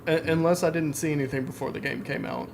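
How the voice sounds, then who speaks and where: A man chatting casually about video games on a podcast, with clear background noise. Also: fairly high pitch, fast talker. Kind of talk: casual gaming podcast chat.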